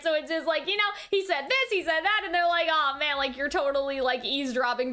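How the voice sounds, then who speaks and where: Female reaction host, very high and bright voice, chatty and animated, loose rambling delivery. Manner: animated, conversational, often checking in with "right?" and "you know what I mean?". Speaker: anime reaction and review host. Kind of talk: casual rambling commentary and review.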